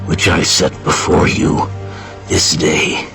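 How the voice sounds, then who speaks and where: A man playing a solemn, aged prophet exhorting his people in a grave, deliberate way, as in a dramatized scripture reading. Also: deep voice, flat delivery, creaky, fairly bright tone, faint music behind, exaggerated voice.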